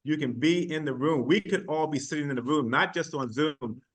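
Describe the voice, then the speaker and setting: An American man, a university vice chancellor, speaking earnestly on an online panel, stressing words slowly and filling pauses with "uh". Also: on the high side. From United States. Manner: earnest, emphatic, drawn-out stresses, frequent "uh". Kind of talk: panel talk over video call.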